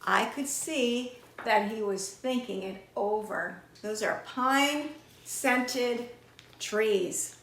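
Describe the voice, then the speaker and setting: A middle-aged woman reads a picture book for young children with an expressive, deliberate delivery. Her voice is very high and unhurried, recorded in a roomy, echoing indoor space. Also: slight background noise. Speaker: children's author reading aloud. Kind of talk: expressive storytime reading with questions to young listeners. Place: small indoor room with shelves.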